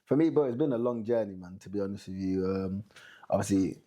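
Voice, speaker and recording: A young British man with a Manchester lilt talks fast in a low, mellow voice. He is relaxed and chatty, and he sprinkles "bro" and "you know what I mean" through his answers. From United Kingdom. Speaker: musician guest. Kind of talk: casual chat.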